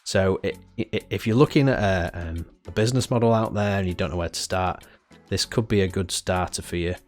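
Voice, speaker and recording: A man with a cold, sniffly and a little stuffy, talks through a product review in a very low voice. He rambles casually, with plenty of "uh"s and restarts, while faint music plays quietly behind him. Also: fairly quick. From United Kingdom. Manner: chatty, frequent "uh" fillers and restarts. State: has a head cold, sniffling.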